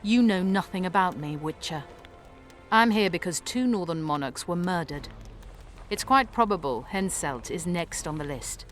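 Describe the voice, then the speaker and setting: A woman's voice: lowish voice, expressive, normal speed, lightly acted. Woman playing a haughty, scornful court sorceress. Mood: cool, haughty. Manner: scornful, crisp, imperious. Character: haughty, self-assured female court sorceress. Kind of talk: video game dialogue acting. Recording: music behind the voice.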